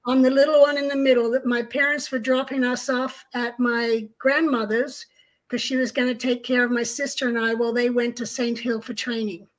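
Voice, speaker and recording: A middle-aged woman from Australia, co-hosting a video-call chat at home, telling her story in a calm, measured way. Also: a little high, rough recording. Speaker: co-host. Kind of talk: casual interview chat. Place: home room, indoors.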